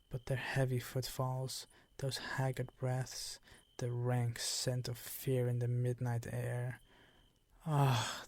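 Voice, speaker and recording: Guy doing a suave old vampire count for asmr, slow n gravelly, formal, teasing, kinda menacing. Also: mid-range voice, a little mellow, no echo. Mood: menacing, nostalgic. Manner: formal, drawn-out, condescending, teasing. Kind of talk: intimate ASMR roleplay.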